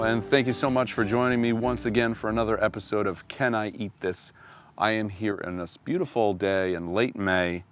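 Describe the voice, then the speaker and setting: A man hosting a foraging show, explaining a wild plant outdoors in an open field in an earnest, unhurried way. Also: fairly low pitch, a bit bright. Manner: earnest, unhurried, repeats words while thinking.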